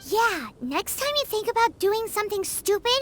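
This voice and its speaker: Squeaky, cheeky fairy child. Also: female voice, sing-song, moderate pace, fairly bright tone, heavily acted. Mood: indignant. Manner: squeaky, exclamatory, speaks of self in third person. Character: small childlike female fairy companion, excitable and cheeky. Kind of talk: animated video game voice acting. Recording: music playing behind the voice.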